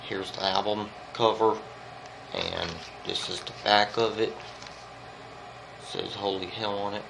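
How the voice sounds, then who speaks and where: A man's voice: on the low side, creaky. A man with a congested, stuffy voice from a cold, talking through an album review in an earnest, rambling, repetitive way. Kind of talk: casual music review vlog. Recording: steady background noise.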